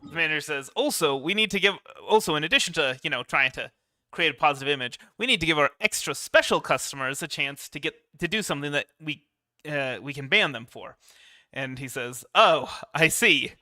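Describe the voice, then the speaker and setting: Bright-voiced man streaming a game. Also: fairly high pitch, expressive, fairly quick, dry recording, clean studio sound. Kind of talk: casual live gameplay commentary.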